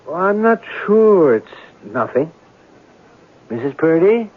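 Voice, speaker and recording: An actor playing an inquisitive, romantic writer, probing and deliberate, in a 1970s radio mystery drama. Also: male voice, on the high side, very animated, full character voice. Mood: curious, insistent. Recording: noisy, narrow-band old radio broadcast.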